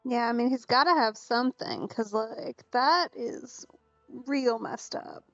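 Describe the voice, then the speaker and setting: A woman live-streaming a game with casual, colloquial commentary. Her voice is a touch high and very unhurried, laid-back in delivery, on a dry mic. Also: a bit bright, no echo, soft background music. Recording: dull, slightly lo-fi mic.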